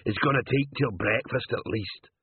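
Man doing a husky, muttering troll potter. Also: mid-range voice, moderate pace, no echo, narrow, tinny audio. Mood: grudging. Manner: ungrammatical, sullen protesting. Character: grumbling, cowed male troll potter, dim and shifty.

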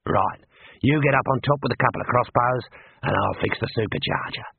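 A man voicing a gruff, rough male cart driver with a low, gravelly drawl. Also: brisk, no echo. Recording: tinny phone-like dry sound.

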